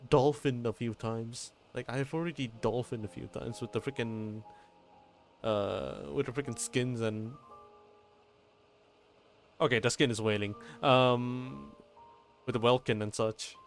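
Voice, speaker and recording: A man giving casual live commentary on a game stream, halting and swearing freely. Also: medium pitch, steady tone, faint music behind.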